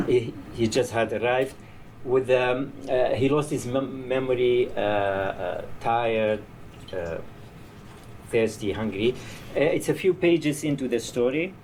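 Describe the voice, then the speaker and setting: A male novelist with a Kurdish accent speaks to a live bar gathering. His delivery is very slow, halting and deliberate, and there is faint noise behind him. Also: medium pitch, a little mellow, low-quality recording. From Iraqi Kurdistan. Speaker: novelist reading own work. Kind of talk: live introduction and literary reading.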